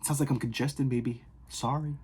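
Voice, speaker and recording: A young man from the Boston area, vlogging in casual, sarcastic rambles that swing from loud outbursts to whispers, recorded on his phone in his bedroom. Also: medium pitch, steady tone, normal speed, slight background noise. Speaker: fragrance vlogger. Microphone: phone camera.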